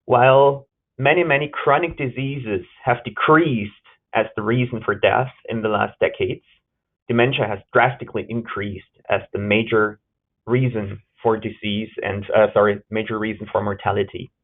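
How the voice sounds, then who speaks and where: A man from Germany with a German accent giving an earnest webinar research presentation, pausing on fillers and correcting himself now and then. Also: on the low side, fairly even intonation. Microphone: video call. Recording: tinny phone-like line.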